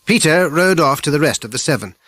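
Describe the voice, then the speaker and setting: A man's voice: fairly high pitch, animated, fairly quick, a bit bright, dry recording. British man narrating a children's story. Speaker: audiobook narrator.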